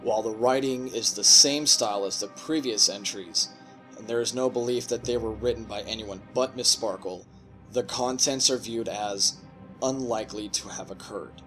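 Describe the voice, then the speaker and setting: Low-voiced man reading a formal report. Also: sharp tone, low-quality recording. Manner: measured, formal and detached. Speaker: narrator reading an official report. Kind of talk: scripted narration.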